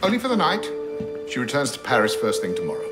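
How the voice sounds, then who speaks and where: An actor playing a stern, dryly amused older gentleman in a video game, formal and measured. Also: medium pitch, huge pitch swings, over loud music. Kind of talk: video game cutscene acting.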